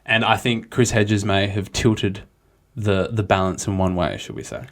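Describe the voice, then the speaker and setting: A low-pitched man co-hosting a political podcast. He talks in a casual, hedging way, leaning on "look" and "yeah" while he builds an argument. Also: fairly even intonation, normal speed. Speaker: podcast co-host.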